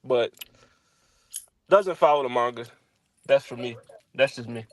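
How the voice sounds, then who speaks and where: A man on a casual group chat, calmly listing his opinions. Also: mid-range voice, unhurried.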